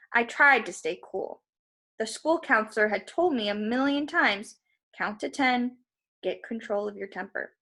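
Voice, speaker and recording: Woman doing a read-aloud, kinda high voice, expressive, chats to listeners informally. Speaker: storybook reader. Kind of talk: read-aloud narration with casual asides to listeners.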